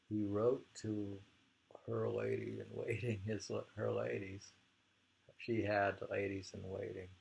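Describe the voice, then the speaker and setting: A man casually reading poetry and talking it over in a halting, musing way. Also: lowish voice, creaky, a little mellow, husky, rough recording. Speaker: reader and host of poetry readings.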